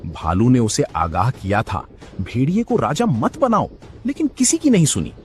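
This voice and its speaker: A man's voice: medium pitch, wildly swooping pitch, moderate pace, a little mellow, a little hiss or hum. A man voicing an indignant, complaining jungle animal in a cartoon fable. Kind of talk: animated children's fable acting.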